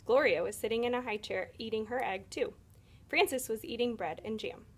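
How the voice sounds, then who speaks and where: A woman reading a children's picture book aloud in an expressive storytelling style. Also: on the high side, brisk, breathy edge. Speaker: read-aloud storyteller, teacher.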